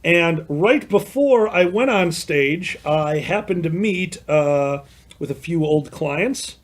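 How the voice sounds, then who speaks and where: A man with a Chicago accent co-hosts a casual livestream, trading jokes and stories. His voice sits high and swings widely in pitch. He talks about himself with a mocking, self-deprecating humour. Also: expressive, slow pace. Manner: joking, self-deprecating storyteller. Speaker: podcast co-host.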